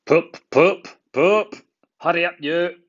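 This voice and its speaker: A man's voice: fairly high pitch, slow, pitched above the performer's own voice. A big express engine from a children's storybook, as a man reader puts him on. He is grumpy and mocking, hitting each phrase with emphasis, on a slightly dull recording. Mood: cross.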